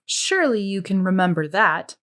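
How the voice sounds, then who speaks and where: A woman's voice: mid-range voice, big pitch swings, normal speed, a bit bright. A woman reader voicing a stern, sarcastic female camp warden with pointed, interrogating questions. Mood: probing.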